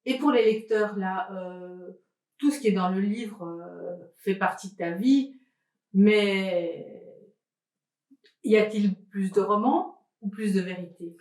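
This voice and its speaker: A woman interviewing an author, asking unhurried questions that she pauses through with fillers. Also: mid-range voice, darkish tone, roomy sound.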